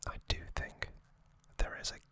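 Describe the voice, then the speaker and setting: An ASMR host whispering a recap in a breathy, unvoiced voice, hushed and pausing between short phrases. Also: no room sound.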